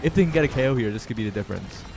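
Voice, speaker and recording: A man with a mid-range voice co-casts an esports match, relaxed and chatty, laughing easily and speeding up when a play gets tense. Faint game music plays underneath. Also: mid-range voice, very fast, creaky, poor audio. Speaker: esports co-caster. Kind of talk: color commentary.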